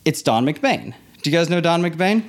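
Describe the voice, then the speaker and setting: A man's voice: on the high side, moderate pace. A man who grew up in Hong Kong, telling a casual story as an interview guest and acting out the dialogue. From born in Los Angeles, grew up in Hong Kong. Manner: animated retelling with quoted dialogue. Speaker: interview guest, theme park industry professional.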